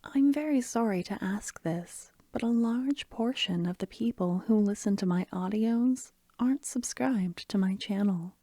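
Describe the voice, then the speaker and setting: A woman narrating softly as an ASMR storyteller, half-whispering in a breathy, gentle, soothing way. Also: mid-range voice, a little slow, hardly any pauses, dry recording, studio quality. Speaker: ASMR storyteller, channel host.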